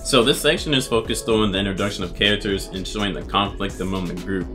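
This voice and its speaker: Lowish male commentator over music. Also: fast talker. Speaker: video essayist. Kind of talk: casual analytical commentary.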